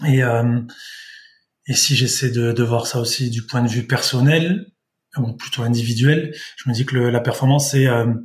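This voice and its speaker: A man from the south of France, a sports dietitian speaking as an interview guest, thinking aloud with many pauses and restarts. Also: medium pitch, steady tone. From southern France, Vaucluse. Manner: hesitant, many fillers and restarts. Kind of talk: conversational interview answers.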